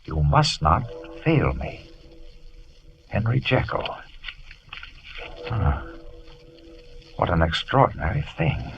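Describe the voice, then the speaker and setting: An actor playing a grave gentleman doctor, speaking solemnly and deliberately in an old radio drama. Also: on the low side. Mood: puzzled. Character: grave gentleman doctor reading an urgent letter. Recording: dull, noisy old radio recording.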